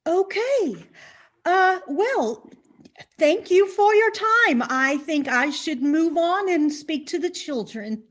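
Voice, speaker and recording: Nervous female investigator played by an actress, very high voice, polite and hesitant, comedic mystery play. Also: expressive, slow, a bit bright, heavily acted. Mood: awkward, nervous. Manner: hesitant, apologetic.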